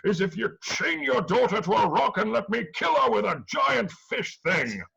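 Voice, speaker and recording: A domineering male sea god who barks his threats in a high, swooping voice, performed by a man. Husky and muffled, like a storyteller's comic aside. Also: very animated, moderate pace, bright, forceful tone, heavily acted. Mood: threatening. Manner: domineering, blustering. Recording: dull lo-fi recording.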